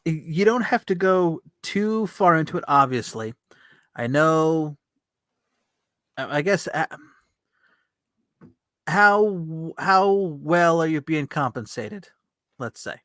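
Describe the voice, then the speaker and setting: A man's voice: fairly high pitch, lively intonation, takes it slowly, pauses often, fairly bright tone, no echo, clean studio sound. A man hosting a podcast, interviewing in an informal, conversational way. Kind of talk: casual chat.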